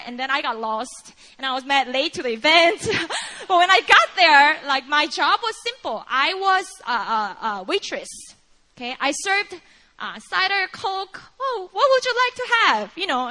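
A young woman from Korea preaches in English with a clear Korean accent. Her voice is high, bright and ringing, and she talks earnestly, filling her sentences with "like" and "you know." The delivery is lively and personal, like a sermon built around her own story.

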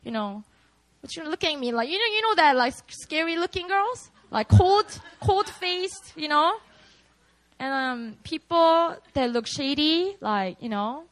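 A woman's voice: high-pitched, expressive, a little slow, poor audio. A young woman with a Korean accent preaching at a prayer meeting, candid and chatty, often laughing at herself.

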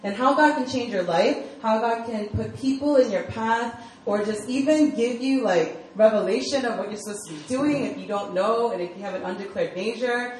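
A woman's voice: a little high, moderate pace, hardly any pauses, a little mellow. A young American woman from Virginia, a campus ministry director, giving a casual testimony-style sermon full of "like" fillers and easy laughter. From United States (Virginia). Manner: chatty, lots of "like" fillers, laughs easily. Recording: echoey hall with faint room noise.

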